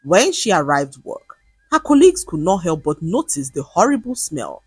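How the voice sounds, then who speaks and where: Husky woman storyteller, lively and low-pitched. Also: normal speed, dry recording, studio quality, soft background music. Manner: expressive, dramatic storytelling. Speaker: storyteller narrator. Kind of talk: scripted folktale narration.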